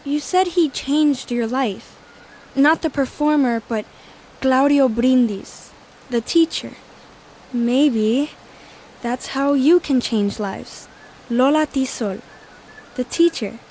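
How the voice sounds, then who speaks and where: A woman's voice: sits high, takes it slowly, long pauses, a little mellow, a little hiss or hum, poor audio. A woman playing an earnest, polite twelve-year-old girl in a scripted audio drama, a little hesitant. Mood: sympathetic, earnest.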